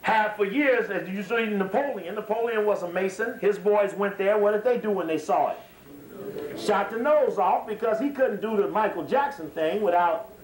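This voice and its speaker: A man's voice: high voice, moderate pace, a little hiss or hum. A man giving an extemporaneous lecture to a live audience, speaking in an emphatic, repetitive, digressive manner. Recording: echoey room, slightly distant microphone.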